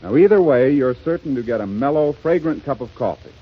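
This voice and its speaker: A man announcing a 1930s radio show, reading ad copy and scene-setting in a formal, smooth style. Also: mid-range voice, animated, brisk. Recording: muffled 1930s radio broadcast with music.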